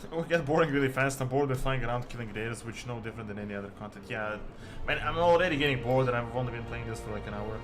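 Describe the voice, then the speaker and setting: A man from Serbia, chatting casually to viewers as a game streamer in a halting, rambling way peppered with "like". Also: medium pitch. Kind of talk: casual livestream chat with viewers. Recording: music behind the voice. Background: music.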